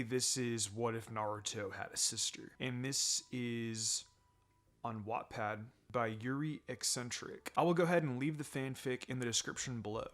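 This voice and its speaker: A man narrating fan fiction aloud in an expressive storytelling manner. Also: medium pitch.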